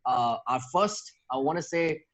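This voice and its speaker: A man hosting a podcast interview in an informal, halting way. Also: on the high side, lively intonation, fairly bright tone. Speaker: podcast host. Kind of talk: casual interview chat.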